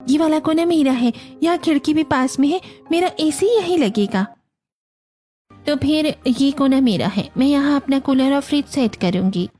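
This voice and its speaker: A woman's voice: high-pitched, expressive, normal speed, warm tone, faint music behind. A woman with an Indian accent playing a selfish, demanding young newlywed sister-in-law in a family cartoon, theatrical and whining. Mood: curious, eager.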